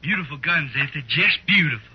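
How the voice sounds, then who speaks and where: A dull, slightly noisy vintage radio western. A man acts an eager American storekeeper of the Old West, rattling along quickly with folksy salesmanship. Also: fairly high pitch, lively intonation, natural voice. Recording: muffled, faintly noisy old radio recording.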